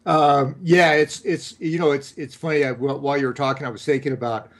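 An older man co-hosting a podcast, his voice slightly rough, telling folksy stories with fillers. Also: medium pitch, steady tone, fairly quick. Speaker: copywriter co-host. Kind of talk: casual podcast chat.

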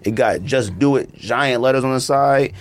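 A man's voice: mid-range voice, normal speed. Laid-back man counting down sneakers. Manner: laid-back slang, animated when counting down. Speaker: podcast co-host. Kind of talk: casual chat about sneakers.

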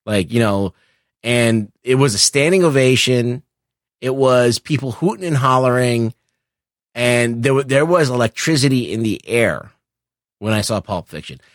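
Middle aged guy on a movie podcast, average pitch, stumbles around his sentences, casual and sweary. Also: lively intonation, no echo, clean studio sound. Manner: halting, circling phrases, casual swearing. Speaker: podcast co-host discussing films. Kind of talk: casual opinionated film chat.

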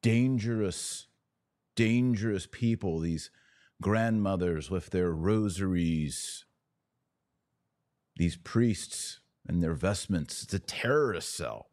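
A man's voice: deep voice, takes it slowly, darkish tone, dry recording, studio quality. An American man hosting a podcast, giving emphatic political and religious commentary and explaining point by point. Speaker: podcast host.

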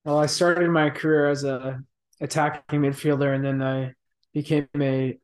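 A man in his thirties, a professional midfielder, talking softly and thoughtfully in a casual interview, with many fillers and restarts. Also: fairly high pitch, little pitch movement, moderate pace, clear, resonant tone.

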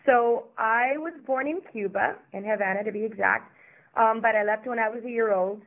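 A woman's voice: on the high side, normal speed, vocal fry. Chatty woman over a tinny phone line. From Miami, Florida. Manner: chatty, warm, frequent ums. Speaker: interview guest. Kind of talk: podcast interview.

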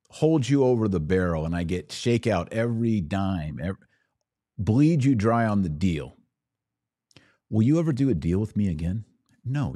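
A man's voice: deep voice, dark tone. A man hosting a podcast, explaining and reacting to political clips in an informal, emphatic way. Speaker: podcast host.

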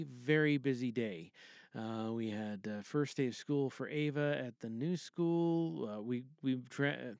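A man's voice: mid-range voice, a bit bright, clear, resonant tone, no echo, clean studio sound. A middle-aged man with a Canadian accent, hosting a podcast in a casual, rambling, conversational way from a home booth. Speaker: podcast host. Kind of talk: casual chat.

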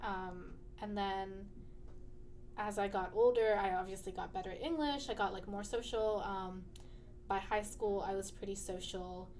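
A woman's voice: mid-range voice, steady tone, normal speed, a little mellow, a little hiss or hum. A young adult woman talking as a student guest in a casual interview, chatty and full of fillers, laughing easily.

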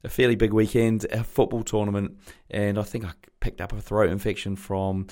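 Male New podcast host, slightly croaky throat, loose chatty delivery, a touch low in pitch. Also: little pitch movement, a little fast, darkish tone. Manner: chatty, rambling, many fillers. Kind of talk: casual podcast intro.

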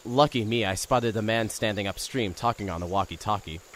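An actor playing an awkward, wry radio host turned investigator, narrating an audio drama in the first person with some hesitancy. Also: male voice, on the low side, fairly quick, a little hiss or hum, natural voice. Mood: wry, storytelling.

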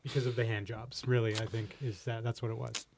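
Mid-pitched Canadian man, bantering podcast host. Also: level tone, fairly quick, vocal fry, a little mellow, a little hiss or hum. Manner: bantering, jokey. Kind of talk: casual chat.

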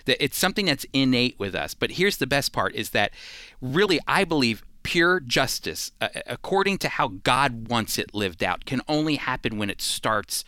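A man with a bright, forward voice at an average pitch talks as a radio Bible teacher. He is conversational yet earnest and emphatic, and the sound is close and dry. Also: expressive, normal speed, no echo.

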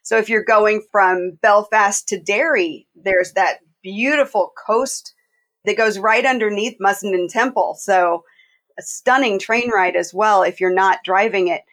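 A woman's voice: mid-range voice, measured pace, fairly bright tone. A woman hosting a podcast interview, enthusiastic and asking open questions. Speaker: podcast host. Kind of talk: casual interview.

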